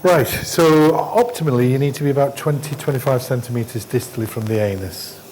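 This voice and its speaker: A man's voice: medium pitch, a little mellow, slight background noise, poor audio. Blunt man coaching endoscopy. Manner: blunt, brisk instructions, dismissive asides. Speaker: endoscopy trainer. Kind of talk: hands-on teaching, coaching a trainee. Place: hospital endoscopy training room.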